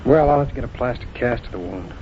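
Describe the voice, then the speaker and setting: An actor playing a calm, methodical federal agent in a 1940s radio drama, talking in a matter-of-fact, businesslike way. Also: male voice, medium pitch, quick pace, a little hiss or hum, lightly acted. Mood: investigating. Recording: muffled 1940s radio broadcast.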